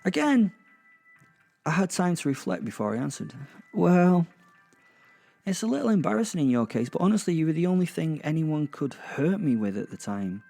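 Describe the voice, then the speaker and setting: Man as a mellow, wry, remorseless werewolf killer. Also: fairly high pitch, big pitch swings, creaky, soft background music, exaggerated voice. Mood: reflective, cold. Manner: wry, deliberate, musing, sweary. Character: remorseless male werewolf killer, wry, cocky, centuries old. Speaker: narrator and protagonist. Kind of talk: first-person dramatic narration.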